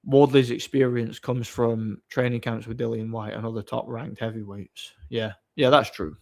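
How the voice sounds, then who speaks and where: A man hosting a livestream, chatting casually and off the cuff in a blunt, opinionated, somewhat halting way. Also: mid-range voice, steady tone, vocal fry. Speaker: livestream host. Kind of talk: casual off-the-cuff chat, answering viewer comments.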